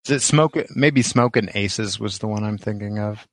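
A man's voice: lowish voice, moderate pace, dry recording. A man podcast co-host chatting casually about films. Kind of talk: casual chat about movies.

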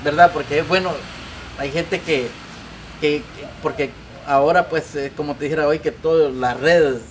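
A man's voice: on the high side, steady tone, normal speed, slight background noise, poor audio. A man hosting a casual interview, filling gaps with hesitant fillers on an outdoor patio. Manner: backchannels a lot, hesitant with fillers.

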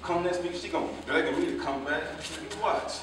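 A man's voice: a little high, fairly even intonation, fairly quick, fairly bright tone, very echoey, natural voice. Guy playing broke american worker sweet-talking in a stage play, street casual, far from mic, noisy recording. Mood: cocky, aggrieved. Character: broke, cajoling male hotel worker thrown out by his woman. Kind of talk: staged play reading, colloquial dialogue. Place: indoor theater stage, recorded from afar.

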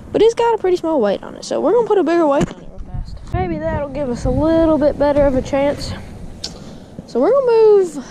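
A young man, chatting casually to viewers while fishing at a pond, calling them guys and dude. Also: very high-pitched. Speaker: fishing vlogger. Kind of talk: casual vlog commentary. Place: outdoors by a windy pond. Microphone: body-mounted action camera. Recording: wind noise outdoors.

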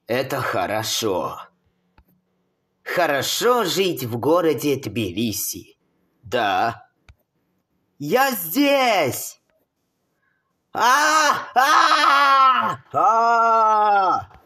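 High-pitched man voicing an amateur cartoon. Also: very animated, very slow, long pauses, sharp tone.

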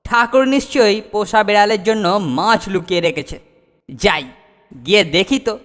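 A man's voice: high-pitched, animated, moderate pace, a bit bright, smooth. A man voicing a bossy, scheming character in a comic cartoon, sly and scolding, with an exaggerated voice. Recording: long hall reverb, music behind.

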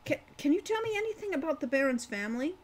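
A woman's voice: high voice, very animated, fast talker, voice pushed up. A woman voicing an earnest, polite young man who wants to be a hero, in a storybook reading. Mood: polite, questioning. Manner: earnest, questioning.

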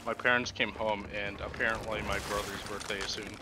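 A man's voice: lowish voice, level tone, a little fast. A man chatting casually with friends as they play a video game together. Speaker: gamer playing with friends. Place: voice chat over a video game. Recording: noisy gaming voice chat.

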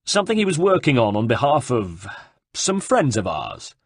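A man's voice: medium pitch, huge pitch swings, normal speed, slightly rough, no echo, heavily acted. A man voicing a cool, ironic Italian nobleman villain who bargains with menace in an audiobook. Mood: ironic politeness. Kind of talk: audiobook character dialogue.

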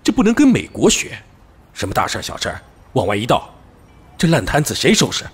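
A man's voice: medium pitch, huge pitch swings, brisk, slightly rough, heavily acted. A man playing a stern, cautious middle-aged police officer and father, admonishing and emphatic, in an audio drama. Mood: reproachful. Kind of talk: Chinese audio drama acting.

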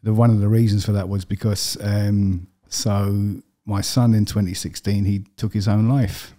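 A middle-aged man from London with a London accent, a podcast guest telling his story plainly, with steady fillers. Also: lowish voice, normal speed. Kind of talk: personal interview. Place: podcast studio with brick wall. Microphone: studio desk mic with headphones.

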